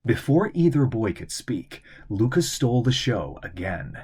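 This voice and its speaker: A man reading a children's adventure novel aloud as an expressive, theatrical narrator. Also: mid-range voice, a little slow, breathy edge. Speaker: audiobook narrator, voice actor.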